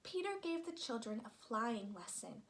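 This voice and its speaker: A woman reading a children's story aloud as an expressive narrator. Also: a little high, moderate pace. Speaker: storybook reader.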